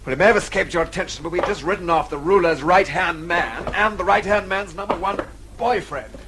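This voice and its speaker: Casting: clipped English fugitive, wry and resourceful, lightish higher register, radio drama with some background noise. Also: male voice, a little fast. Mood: brisk, dry. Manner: clipped, wryly understated. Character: brisk, dry-witted Englishman on the run, resourceful. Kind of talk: BBC radio drama acting.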